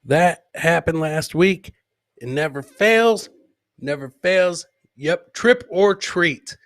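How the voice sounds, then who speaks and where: Higher-pitched man riffing on comedy clips. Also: lively intonation, takes it slowly, fairly bright tone. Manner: repeats phrases for comic effect; jokey, mimics people in the clips. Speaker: host of a live comedy podcast. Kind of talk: joking commentary on funny clips.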